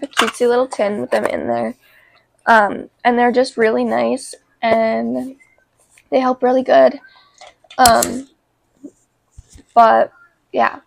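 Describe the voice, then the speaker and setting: A young woman vlogging casually about her life, congested with a cold, talking in an informal, halting way. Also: on the high side, gravelly, slight background noise. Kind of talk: casual chat to camera.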